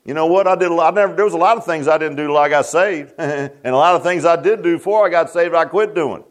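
Pastor man preaching in church, talks with restarts and repeats, calls out to folks, kinda high voice. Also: quick pace. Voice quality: deep voice. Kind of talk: sermon. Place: church sanctuary.

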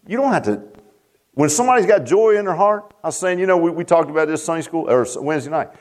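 A man's voice: expressive, quick pace, a little mellow. A man preaching a sermon to his congregation in church, repeating himself and calling out to the crowd. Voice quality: deep voice. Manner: repeats and restarts, calls out to the congregation. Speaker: pastor. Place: church sanctuary.

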